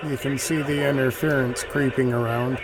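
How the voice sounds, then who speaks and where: A man giving casual, unhurried, musing commentary as he demonstrates radio listening as a hobbyist host. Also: mid-range voice, little pitch movement. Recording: clear radio static and noise. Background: shortwave radio hiss and chatter.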